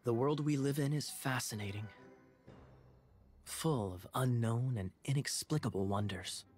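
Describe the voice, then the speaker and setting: A man voicing a sly young knight captain who speaks in a smooth, theatrical, playfully mocking way. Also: mid-range voice, a little slow, darkish tone, faint music behind, natural voice. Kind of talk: game cutscene dialogue.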